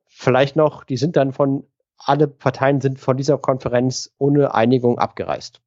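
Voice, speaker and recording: A man's voice: medium pitch, fairly even intonation, moderate pace, darkish tone, no room sound, highs cut off. A man co-hosting a history podcast, searching for words and adding asides to his partner. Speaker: podcast co-host. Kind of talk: casual history podcast chat.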